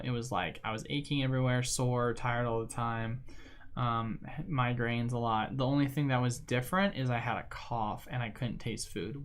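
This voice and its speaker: Young guy streaming, rambly and slangy, chill mid-pitch voice. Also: fairly bright tone. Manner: rambling, filler-heavy, slangy. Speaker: livestream host. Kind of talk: casual chat while gaming.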